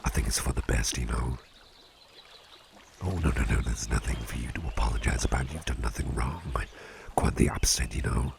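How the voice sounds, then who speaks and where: Man acting a very deep, gravelly soothing boss. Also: noisy background, exaggerated voice. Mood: gentle, reassuring. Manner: soft, soothing, unhurried, often dropping near a whisper. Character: calm, playful businessman boss hypnotizing his employee with a pendant. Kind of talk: slow, intimate ASMR roleplay.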